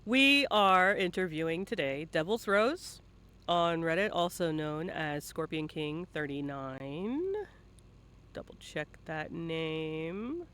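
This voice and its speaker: A man with a high, bright voice hosts a live-streamed interview. He speaks informally into a close mic, teasing and swearing as he goes in a playful, bantering style. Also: takes it slowly, a little hiss or hum. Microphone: close mic at a computer.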